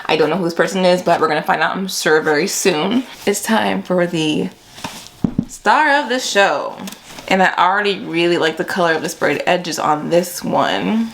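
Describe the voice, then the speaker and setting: A woman's voice: fairly low pitch, moderate pace, creaky, a little hiss or hum. A young woman vlogging in a casual, chatty and informal way, using slang and addressing her viewers as friends. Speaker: book vlogger. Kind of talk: casual vlog chat.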